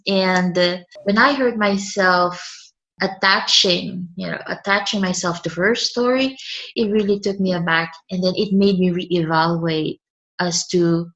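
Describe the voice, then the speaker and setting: Lady guest, kinda low voice, stops and restarts a lot, dull muffled sound. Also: fairly bright tone. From Philippines. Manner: hesitant, repeats and restarts words. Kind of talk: conversational interview.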